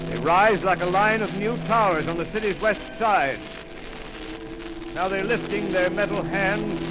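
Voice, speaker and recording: An actor playing a male radio announcer on a rooftop, reporting as an eyewitness in halting, breathless bursts in 1930s radio drama style. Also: high voice, low-quality recording. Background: warning bells ringing.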